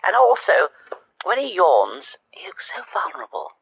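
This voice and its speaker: An actress playing a dreamy, earnest wife phoning a spoof radio show, halting and dramatic, dropping into breathy hushes. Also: female voice, on the low side, huge pitch swings, no echo, heavily acted. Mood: earnest, wistful. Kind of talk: spoof radio phone-in comedy. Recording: dull lo-fi phone-in line.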